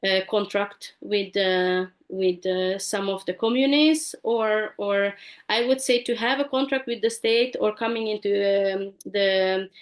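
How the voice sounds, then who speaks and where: A woman's voice: mid-range voice, fairly even intonation, a little slow. Hesitant middle-aged woman therapist. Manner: hesitant, with many fillers. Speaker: therapist guest. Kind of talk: casual interview chat. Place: home room with bookshelves, indoors. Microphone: video call with desk microphone.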